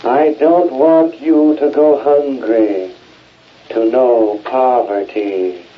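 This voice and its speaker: An actor playing the solemn, halting spirit of a dead husband in an old radio drama. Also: male voice, medium pitch, steady tone. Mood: solemn, ghostly. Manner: slow, halting, portentous. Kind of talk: 1930s radio drama acting. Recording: dull vintage radio recording.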